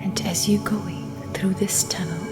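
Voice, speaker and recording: A woman guiding a sleep meditation in a soft, breathy whisper, with gentle, hushed, soothing delivery and lingering pauses. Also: mid-range voice, fairly even intonation. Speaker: meditation guide. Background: immersive ambient meditation music.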